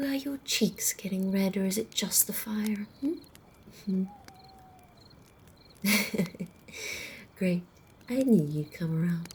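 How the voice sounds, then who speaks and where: A woman playing a haughty, sardonic female vampire in an ASMR roleplay, speaking softly and slowly, often slipping into a whisper, with a condescending, teasing drawl. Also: mid-range voice, a little hiss or hum. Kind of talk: ASMR roleplay acting, close and intimate.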